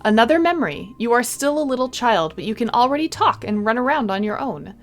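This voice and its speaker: A woman reading a visual novel aloud in an expressive storybook style, with casual playful commentary. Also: a little high, brisk. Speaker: video game streamer. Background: game music.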